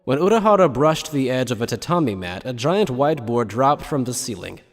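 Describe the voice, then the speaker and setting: A man's voice: mid-range voice, very animated, fairly quick, in a large hall. A man reading scripted narration for an anime audio drama.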